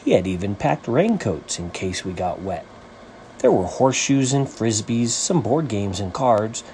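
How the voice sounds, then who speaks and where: A man reading a rhyming children's story as its author, with an animated, sing-song rhythmic delivery. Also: lowish voice, a little slow, a little hiss or hum.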